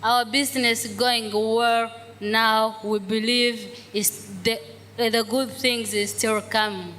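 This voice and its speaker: A woman interpreting her husband's testimony into simple, halting English in a large church hall. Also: on the high side, flat delivery, a bit bright. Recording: echoey hall with faint noise.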